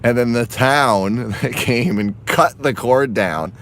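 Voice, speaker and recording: A man from Massachusetts, hoarse and raspy, chatting casually on a phone live stream outdoors while walking and driving. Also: mid-range voice, slow, a bit bright, a little hiss or hum. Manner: rambling, chatty, laughs often.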